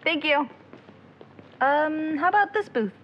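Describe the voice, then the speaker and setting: A determined young sleuth in an audio drama, brisk and a little bossy, in a fairly high voice. The recording is slightly dull, with faint ambient noise. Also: female voice, expressive. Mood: eager, bossy. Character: driven, bossy young amateur investigator hunting a missing girl. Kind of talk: naturalistic audio drama acting.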